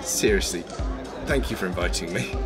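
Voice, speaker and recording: An actor playing a playful, flirtatious man in a radio drama, with a teasing, animated delivery. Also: low voice, normal speed, a loud crowd, natural voice. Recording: music under the voice.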